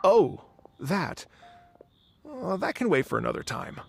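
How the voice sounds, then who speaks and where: Polite male musician, game character: excitable, hesitant, slightly high pitch with vocal fry. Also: measured pace, faint music behind, heavily acted. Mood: confident. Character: earnest, humble, excitable foreign male musician. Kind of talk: video game voice acting.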